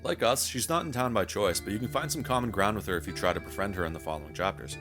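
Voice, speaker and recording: A man's voice: lowish voice, fast, fairly bright tone, soft background music. A man reading a scripted game review in a wry, wordy, casually profane way.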